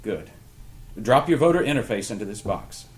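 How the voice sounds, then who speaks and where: A man acting a guarded, authoritative older mentor, courteous but stern and measured, in an audio drama read. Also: on the low side, expressive, lightly acted. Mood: stern, formal.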